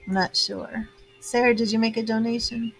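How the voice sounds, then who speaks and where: An American woman in her fifties hosting a live tarot reading, chatty and casual, laughing often and filling pauses with "um". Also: on the high side, steady tone, husky, poor audio. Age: 50s. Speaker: tarot reader hosting a livestream. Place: home with grandchildren and birds in earshot.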